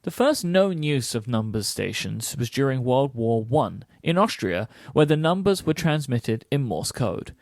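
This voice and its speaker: A man's voice: mid-range voice, very animated, a little slow, few pauses, darkish tone, no room sound, studio quality. A man co-hosting a podcast, switching between scripted explanation and teasing banter. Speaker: podcast co-host.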